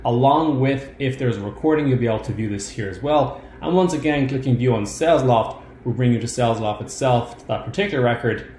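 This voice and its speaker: Man, software walkthrough narrator, informal instructional delivery, middle-pitched voice, roomy echo, background noise. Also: fairly quick, few pauses. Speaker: software tutorial presenter. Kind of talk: step-by-step screen demo narration.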